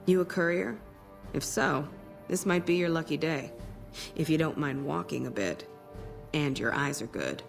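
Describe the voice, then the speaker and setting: Blunt, gravelly outpost ranger. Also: male voice, a little high, moderate pace, pauses often, over music. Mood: sizing up. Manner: blunt, dry, sardonic. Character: weary, blunt, watchful ranger sniper on outpost duty. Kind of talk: video game dialogue acting.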